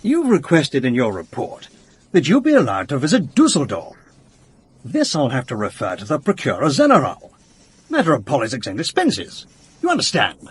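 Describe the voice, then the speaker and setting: Very husky, officious police chief. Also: male voice, medium pitch, wildly swooping pitch, moderate pace, heavily acted. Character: officious, bureaucratic police superior. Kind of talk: radio drama acting.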